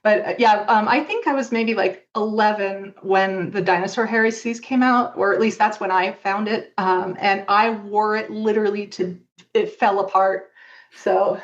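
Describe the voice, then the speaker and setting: A woman's voice: mid-range voice, fairly even intonation, moderate pace, lo-fi sound. A middle-aged woman talking casually and candidly as a podcast guest, in a reflective way. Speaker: interview guest, fan fiction author.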